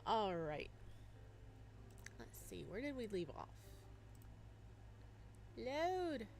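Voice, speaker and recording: A bubbly young woman chats to her stream viewers at an unhurried pace, giggling often and greeting each one by name. A faint hiss sits under her desk microphone. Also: on the high side, big pitch swings, vocal fry. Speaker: streamer playing a visual novel. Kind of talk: casual live stream chat.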